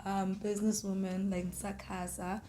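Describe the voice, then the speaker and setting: A woman's voice: medium pitch, little pitch movement, moderate pace, mellow. A bubbly woman in her twenties with a South African accent, chatting as an interview guest and laughing often. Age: 20s. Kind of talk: casual interview chat. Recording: echoey room, mic at a distance.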